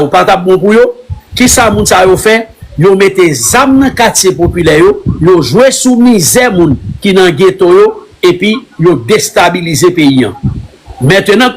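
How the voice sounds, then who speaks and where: A Haitian man whose first language is Creole speaks halting French. He answers questions in a live online conversation, repeating himself often and slipping into Creole words. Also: high-pitched, breathy edge, rough recording. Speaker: interviewee.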